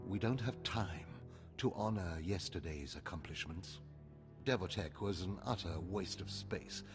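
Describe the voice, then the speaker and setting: An actor playing a stern, haughty older Sith overseer in a video game, clipped, lecturing and condescending. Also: male voice, low-pitched, unhurried. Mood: stern, critical. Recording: loud music behind.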